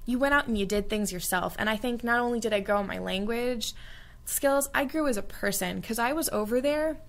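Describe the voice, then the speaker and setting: Earnest young American woman with vocal fry. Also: a little high, brisk. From United States. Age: young adult. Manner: earnest and heartfelt, with frequent fillers. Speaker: study abroad alumna. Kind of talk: reflective interview answers.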